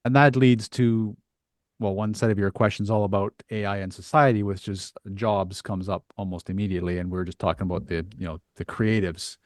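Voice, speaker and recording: A man with a fairly low voice hosts a conversational interview. He thinks aloud, hedging and circling toward his point. The sound is dry, with very little room in it. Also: steady tone, brisk, no echo. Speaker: podcast host and interviewer.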